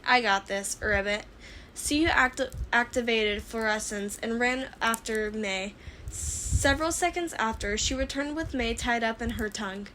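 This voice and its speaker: A woman reading fan fiction aloud as an expressive story narrator. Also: a little high, moderate pace, poor audio. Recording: clear background noise.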